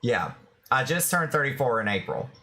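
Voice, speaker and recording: A man's voice: medium pitch, sing-song, normal speed, pushed and bright. A man in his thirties chatting casually and rambling on his live stream. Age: 30s.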